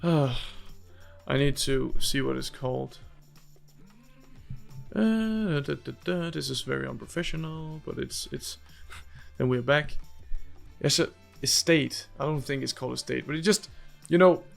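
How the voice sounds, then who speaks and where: Young Danish man, gravelly, rambling review. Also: mid-range voice, unhurried, long pauses, faint music behind. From Denmark. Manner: rambling, searches for English words, many restarts. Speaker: host. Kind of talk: casual movie review.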